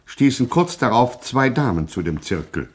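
A man's voice: medium pitch, big pitch swings, moderate pace. Male narrator for radio satire: mid-range voice, ironic, literary, mock-solemn.

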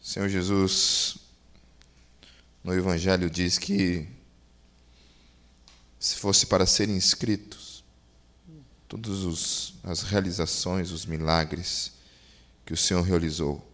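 A reverend leading a spoken prayer in a measured, reverent way. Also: male voice, low voice. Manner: measured, reverent, repeatedly addressing the Lord.

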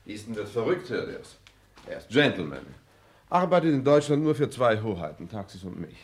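An actor in a 1960s German radio play playing a foppish, affected aristocrat who gushes pompously and sprinkles in French and English. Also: male voice, on the low side, big pitch swings, normal speed. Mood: haughty, pompous. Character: foppish, affected aristocratic dandy, obsessed with clothes.

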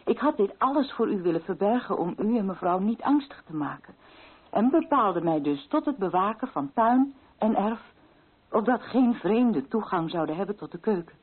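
Radio play widow: grieving and guarded, formal long sentences, slightly rough husky tone, narrow tinny sound. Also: female voice, medium pitch, expressive, normal speed. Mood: anxious, confiding. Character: grieving, fearful, watchful widow of a colonial official. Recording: thin, phone-like old radio recording.

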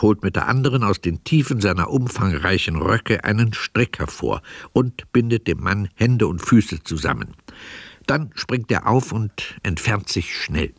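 A man with a lowish voice narrates a radio play in measured, matter-of-fact phrases. The tone is slightly husky and the sound very dry and close. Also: dry recording. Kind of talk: scripted radio drama narration.

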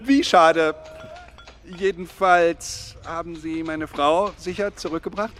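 A man's voice: a little high, steady tone, takes it slowly, fairly bright tone, a little hiss or hum. A man playing a proud, jealous engineer husband in a radio drama, theatrical, sarcastic and self-righteous. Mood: forced cheer.

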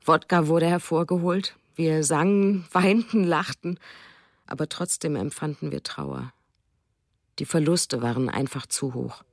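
Quiet reflective woman narrating. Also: deep voice, no room sound, studio quality. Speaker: reader in a radio documentary drama. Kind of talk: scripted narration.

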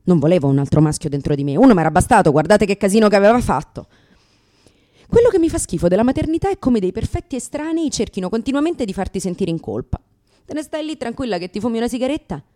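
A woman's voice: mid-range voice, big pitch swings, quick pace, warm tone, lightly acted. An actress in a radio theatre monologue playing a brash, sarcastic, exasperated new mother in her thirties. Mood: indignant, sardonic. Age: 30s.

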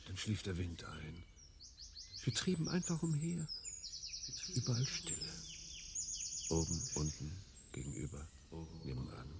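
A low, gravelly sea captain in a radio play. He coaxes and insists theatrically, swinging widely in tone with a seductive, menacing edge, over music. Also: sing-song, a little slow, long pauses, very noisy, heavily acted. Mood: storytelling. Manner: theatrical, coaxing, abrupt shifts between whispers and shouts. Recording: music behind the voice.

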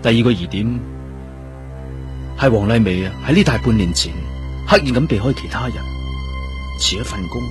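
A methodical male police detective played by an actor in a radio drama, speaking Hong Kong Cantonese. He is composed and probing, with a mid-pitched, measured delivery. Music sits behind the voice. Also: animated, natural voice. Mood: pensive, analytical. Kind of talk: radio drama acting, questioning and inner monologue.

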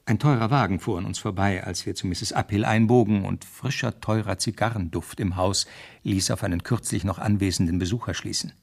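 Casting: young constable and wry narrator, put-upon, husky with gravelly edges, seventies radio crime drama. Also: male voice, on the low side, a little fast. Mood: wry narration. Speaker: actor. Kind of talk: 1970s radio drama acting and first-person narration.

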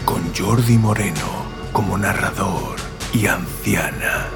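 A man's voice: low-pitched, slow pace, creaky, over music. A man announcing the credits of an audio drama in a scripted read.

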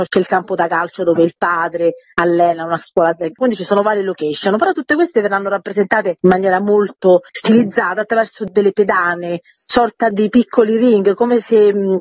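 A woman being interviewed as a playwright, answering conversationally in a talkative, candid, explanatory way. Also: mid-range voice. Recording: roomy, dull lo-fi sound.

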